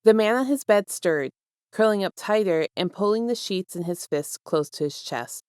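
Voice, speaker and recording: A woman reading fiction aloud as an expressive, scripted narration with measured, deliberate phrasing. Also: medium pitch, smooth, no echo, studio quality. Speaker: fan fiction reader.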